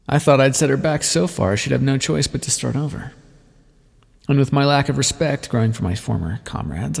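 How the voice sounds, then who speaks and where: A man's voice: mid-range voice, creaky, a little mellow, slightly rough. Man playing a sardonic vampire, swooping and reverberant. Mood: darkly wry. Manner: wry, wordy, self-mocking, theatrical. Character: sardonic, bitter male vampire scientist, theatrical and teasing. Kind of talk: ASMR roleplay monologue, dramatic storytelling. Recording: long reverberant echo on the voice.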